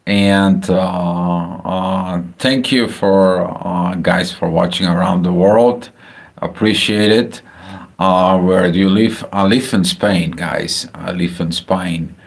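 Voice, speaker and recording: A man with a Bulgarian accent talking casually about astrology on a livestream, halting with many filler sounds and restarts. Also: deep voice, fairly even intonation, vocal fry. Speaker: astrology channel host. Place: home, house in Spain.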